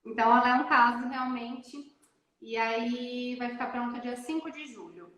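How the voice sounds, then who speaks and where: A woman's voice: on the high side, moderate pace. Chatty woman on an echoey video call. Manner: emotional, animated storytelling. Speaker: guest mother. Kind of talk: casual live chat. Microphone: phone or laptop on a live video call. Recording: echoey room.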